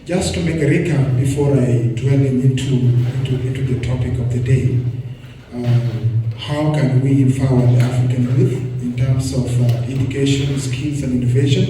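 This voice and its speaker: Young man, South Sudanese origin, wordy panelist, mellow tone, distant echoey hall recording. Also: medium pitch, fairly even intonation, normal speed, a little hiss or hum. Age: young adult. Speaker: student panelist. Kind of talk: formal panel speech.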